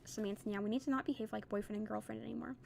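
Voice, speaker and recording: A man's voice: high voice, racing, creaky, a little hiss or hum. A woman reading out the impersonal text message of a distant young boyfriend. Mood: detached. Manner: flat, impersonal.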